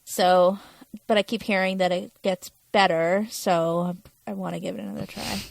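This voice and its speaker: A woman co-host chats casually in a medium-pitched voice. Her delivery is informal and conversational, and the sound is dry, with no room echo. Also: steady tone, moderate pace, gravelly. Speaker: podcast co-host. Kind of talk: casual chat.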